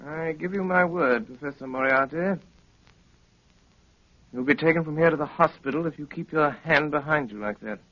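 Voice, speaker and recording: An actor playing a cool, sardonic, commanding gentleman detective in a 1930s radio drama, speaking with clipped, dryly polite authority. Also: on the high side, normal speed, lightly acted. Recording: muffled, noisy 1930s radio recording.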